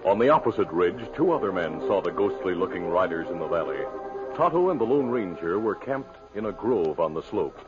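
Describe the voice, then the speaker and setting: A man's voice: sits low, very animated, a little fast. A man narrating a scripted 1950s radio western as its storyteller. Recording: muffled old radio broadcast. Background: music.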